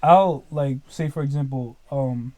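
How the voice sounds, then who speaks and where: A man's voice: medium pitch, unhurried, warm tone. A 20-year-old man talking casually as a podcast guest, rambling and leaning heavily on fillers like "like" and "dude". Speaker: podcast guest, business owner.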